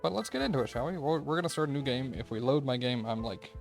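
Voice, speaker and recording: A man's voice: mid-range voice, lively intonation, fast, gravelly, music in the background. A man hosting a game review video with casual, tentative, unscripted commentary, thinking aloud as he goes.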